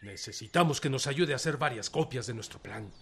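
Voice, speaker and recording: An actor playing a commanding revolutionary general in a radio drama, speaking in an emphatic, authoritative, rustic way. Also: male voice, mid-range voice, lively intonation, brisk, fairly bright tone, a little hiss or hum. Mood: commanding, wry. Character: Emiliano Zapata, commanding male revolutionary general, rallying his men.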